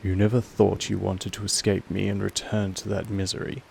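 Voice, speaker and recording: Casting: male actor as commanding vampire master for roleplay audio. Low-pitched, very even, possessive and patient, deliberate pauses. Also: slight background noise. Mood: controlled, quietly menacing. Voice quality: low, creaky. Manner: slow, deliberate, measured delivery with weighty pauses. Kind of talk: scripted roleplay audio monologue addressed to the listener.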